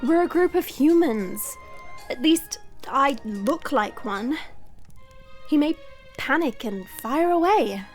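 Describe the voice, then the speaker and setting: High-voiced haughty dragon princess, played by a woman. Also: lively intonation, slow pace. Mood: firm. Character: haughty young dragon princess in human body. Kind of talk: audio roleplay drama acting. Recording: music under the voice. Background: background music.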